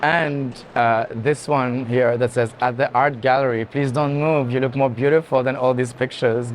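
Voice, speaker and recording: A man giving unscripted art tour commentary in a halting, explanatory way. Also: medium pitch, steady tone. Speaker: art gallery director in conversation. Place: indoor art fair hall. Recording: clear background noise of busy venue.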